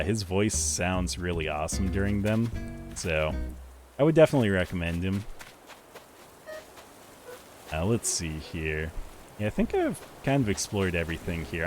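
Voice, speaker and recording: A young man with a deep voice chatting casually with viewers while he plays a game on a live stream, rambling in a relaxed way. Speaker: livestreamer. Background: faint game music.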